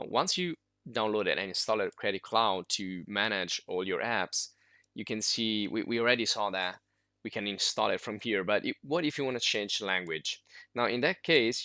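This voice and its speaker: A man whose first language is Italian walks through a software tutorial in a loose, informal way, full of fillers and restarts. His voice sits fairly low yet sounds bright and forward. The recording is dry and close, with no room around it. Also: dry recording. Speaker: software instructor.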